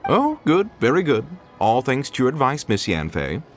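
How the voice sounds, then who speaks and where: A greedy fussy shopkeeper, voice swings all over, stammery. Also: male voice, medium pitch, moderate pace, faint music behind. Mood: fawning. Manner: fawning, stammering, excitable. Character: fussy male merchant, fawning yet greedy. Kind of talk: game cutscene voice acting.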